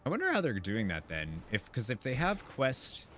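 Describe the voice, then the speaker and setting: A man's voice: mid-range voice, wildly swooping pitch, moderate pace, sharp tone, faint music behind. A man giving casual, rambling and enthusiastic gameplay commentary as he chats with his viewers. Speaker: video game streamer.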